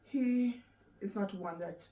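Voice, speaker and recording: A woman from Jamaica with a Jamaican accent, recounting her life story in a soft, reflective way with halting restarts. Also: medium pitch. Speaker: interview guest. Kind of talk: personal storytelling in an interview. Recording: echoey room, distant mic, faint background noise.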